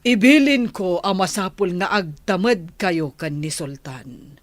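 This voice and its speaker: A woman with an Ilocano accent playing a dignified village noblewoman and mother in a radio drama, measured and formal. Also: lowish voice, no room sound, lightly acted. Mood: formal, respectful.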